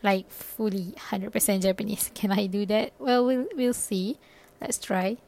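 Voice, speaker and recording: A woman's voice: medium pitch, measured pace, a little mellow. A young Malaysian woman, a native Malay speaker, hosting her own podcast in a casual, thinking-aloud way, full of fillers and restarts. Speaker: podcast host. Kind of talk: casual solo podcast talk.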